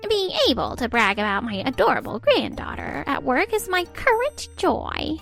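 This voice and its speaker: A woman voicing a stern older mother-in-law and senior nurse, curt and deliberate, in a manga dub. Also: sits high, sing-song, gravelly, a bit bright, soft background music, heavily acted. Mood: fond.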